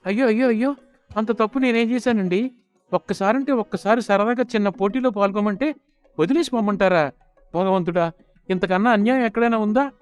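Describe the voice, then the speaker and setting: A man plays a melodramatic wife in a family comedy story. She is bossy and excitable, pitched well up high, and nags theatrically. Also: fairly quick, clear, resonant tone, faint music behind, lightly acted. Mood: wailing. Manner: nagging, wailing theatrically.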